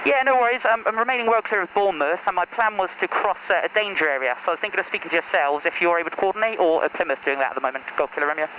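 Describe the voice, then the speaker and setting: A male pilot speaks quickly and politely in procedural radio phrases. His voice sits high for a man. It comes through a cockpit headset with obvious engine noise around it. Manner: polite, procedural, clipped call signs. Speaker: amateur pilot, vlogger. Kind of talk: aviation radio calls and cockpit chat. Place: inside a small light aircraft cockpit in flight. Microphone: aviation headset.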